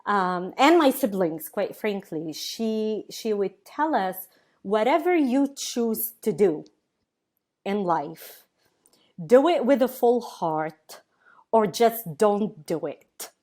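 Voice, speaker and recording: Slow, thoughtful woman. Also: mid-range voice, animated, a little mellow. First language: Arabic. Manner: thoughtful, hesitant, many fillers and restarts. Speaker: guest. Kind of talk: webinar interview.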